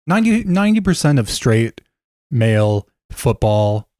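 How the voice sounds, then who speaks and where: A young man, joking around as a podcast co-host, his voice a bit scratchy and hoarse. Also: mid-range voice, big pitch swings, unhurried, a little mellow, dry recording, clean studio sound. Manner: playful, jokey, campy. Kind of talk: casual chat.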